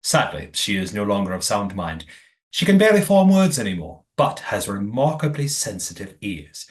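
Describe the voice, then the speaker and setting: A man's voice: fairly low pitch, big pitch swings, moderate pace. A man playing a courteous master of a secretive old manor in an audio play, with formal, precise wording. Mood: grave, explanatory.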